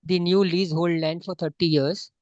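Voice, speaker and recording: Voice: mid-range voice, steady tone, normal speed, a little mellow, no echo. A moderator politely and formally reads out investor questions on a call. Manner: polite, deferential. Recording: dull, lo-fi call audio.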